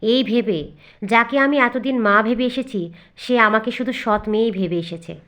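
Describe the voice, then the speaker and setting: A woman acts as a young, simple village stepdaughter in a storybook cartoon. Her voice is fairly high. She is earnest and plaintive, a wronged girl recounting her life. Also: moderate pace, a bit bright, close to an everyday voice. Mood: sorrowful. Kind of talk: storybook narration and cartoon dialogue.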